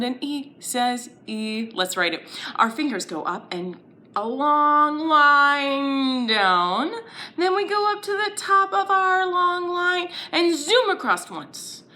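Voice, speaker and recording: A woman's voice: high-pitched, pushed and bright. A woman leading a children's story time lesson, playful and exaggerated, encouraging little listeners with questions and sing-along phrases.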